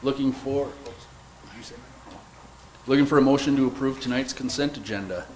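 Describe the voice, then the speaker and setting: A man serving as mayor, chairing a city council meeting in a brisk, procedural way. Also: medium pitch, darkish tone. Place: council chamber. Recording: noisy council chamber recording.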